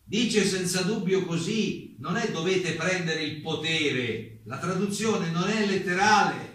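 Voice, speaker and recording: Hesitant playwright under interrogation, very echoey room. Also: male voice, sits high, animated, a little slow, fairly bright tone. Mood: insistent, protesting. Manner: hesitant, polite, repeats denials. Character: defiant foreign playwright questioned by a hostile committee. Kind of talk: radio theatre dialogue. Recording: very echoey distant room, faint noise.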